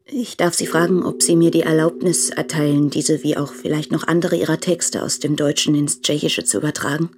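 A woman playing an earnest, troubled married letter writer in a radio play, reading in a formal, measured manner. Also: sits low, fairly even intonation, darkish tone, lightly acted. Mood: earnest, respectful.

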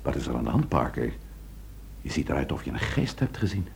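An actor playing a brisk, pragmatic police chief in a radio drama, with a businesslike, dry manner, on an old noisy recording. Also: male voice, extremely low pitch, sing-song, vocal fry, darkish tone, full character voice. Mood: satisfied, probing.

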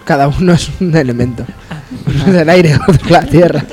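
A man's voice: on the high side, fairly quick, over music. A man chatting and joking casually as a panelist on a radio comedy show. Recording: several people talking over each other. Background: other panelists' chatter.

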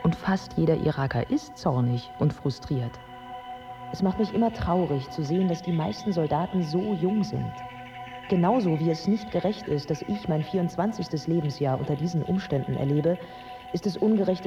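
An actress playing a proud, angry yet compassionate Iraqi woman in her twenties, in a measured radio drama monologue. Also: deep voice. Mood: sad, compassionate. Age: 20s. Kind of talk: literary first-person radio drama monologue. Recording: dull sound with music underneath.

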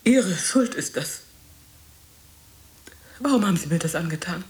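An actress playing a bitter old woman in a radio drama, scolding and reproachful, halting and repeating herself. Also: fairly low pitch, animated, a little mellow. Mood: bitter, dismissive. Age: older.

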